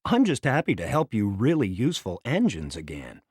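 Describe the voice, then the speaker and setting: A man's voice: fairly low pitch, wildly swooping pitch, moderate pace, darkish tone, slightly rough, no echo, studio quality, heavily acted. A man voicing a sly, hot-tempered diesel locomotive in a children's storybook, with purring mock politeness that can turn to growls.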